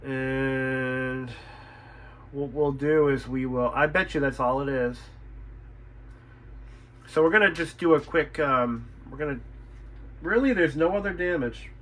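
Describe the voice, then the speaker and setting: Bright-voiced man thinking aloud over a repair. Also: mid-range voice, a little slow, long pauses. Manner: thinking aloud, repeating himself, mild swearing. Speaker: radio repair technician and channel host. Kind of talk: unscripted talk while inspecting and repairing.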